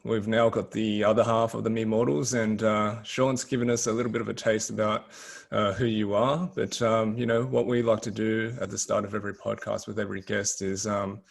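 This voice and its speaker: A man's voice: fairly low pitch, level tone, a little fast, gravelly. An Australian man hosting a podcast, welcoming a guest in a laid-back way full of fillers. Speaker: podcast host. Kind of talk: casual interview intro.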